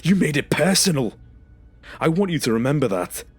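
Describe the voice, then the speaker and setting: A man's voice: a little high, sing-song, moderate pace, slightly rough, soft background music. A man playing a traumatised, confrontational former stasis patient in an audio drama, speaking emphatically and demanding accountability. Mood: bitter, accusing.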